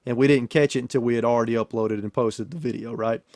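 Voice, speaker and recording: A man's voice: medium pitch, steady tone, fast, a little mellow, no room sound, clean studio sound. A man hosting a podcast in a casual, profane, storytelling chat style. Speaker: podcast host and YouTuber.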